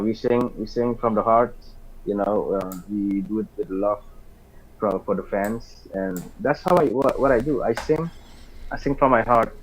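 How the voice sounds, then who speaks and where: A man's voice: on the low side, poor audio. A man from Suriname with a Surinamese accent and Hindi as his first language, a guest singer chatting in a casual interview and often repeating words as he talks.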